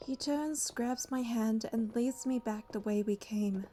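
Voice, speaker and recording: An actress playing a devoted, protective young bodyguard heroine in a romance game, telling her story in an earnest, measured way. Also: on the high side, warm tone, faint music behind, close to an everyday voice. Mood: tender, narrating. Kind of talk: romance game narration and dialogue.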